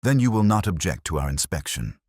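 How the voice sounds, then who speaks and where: A man plays a razor-sharp Victorian detective in an audiobook. The voice is very deep, cold and composed, with formal phrasing and a dry sound. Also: sing-song, a little fast, breathy edge, dry recording, heavily acted. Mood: cold, resolute.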